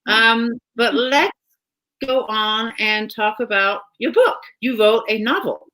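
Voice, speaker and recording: A woman's voice: on the high side, slow pace, sharp tone, rough recording. A woman with a British accent hosting a casual live author chat from home, talking chattily and laughing often. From Britain. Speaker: host.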